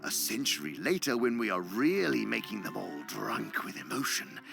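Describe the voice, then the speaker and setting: An actor playing a cunning, pompous senior demon in an audio drama, lecturing eloquently and with disdain. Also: male voice, on the low side, wildly swooping pitch, moderate pace, creaky, heavily acted. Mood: gloating lecture. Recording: music underneath.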